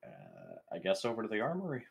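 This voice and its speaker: A man's voice: on the low side, wildly swooping pitch, quick pace, creaky, breathy edge, muffled recording. A man playing a tabletop roleplaying game, chatting casually in a blunt, sweary way. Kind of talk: casual joking table chat.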